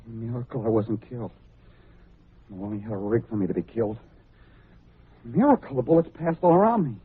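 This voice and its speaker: An actor playing a shaken, repentant young small-time crook in an old-time radio drama. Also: male voice, medium pitch, lively intonation, a little fast, husky. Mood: shaken awe. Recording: muffled, narrow-band old radio recording.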